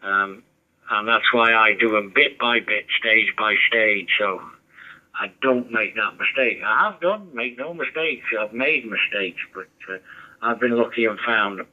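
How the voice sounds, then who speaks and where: A man's voice: lowish voice, expressive, unhurried. A man chatting over a ham radio, rambling and giving advice. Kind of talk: casual radio chat. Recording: dull muffled radio audio.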